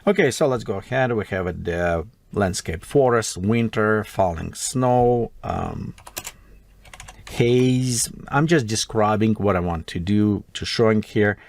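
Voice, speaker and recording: A man with a Russian accent walks through a software tutorial at his desk, thinking aloud as he types, his English broken and non-native. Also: fairly low pitch, sing-song, measured pace, vocal fry. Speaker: tutorial host. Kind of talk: screen-recorded software tutorial.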